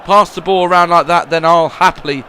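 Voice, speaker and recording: A smug footballer gloats over a goal handed to him on a plate, played by a man. The voice sits fairly high and sounds bright and forceful. It carries a cheeky, mocking gratitude throughout. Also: fairly even intonation, fairly quick, a little hiss or hum. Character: smug male footballer gratefully taking a gift goal.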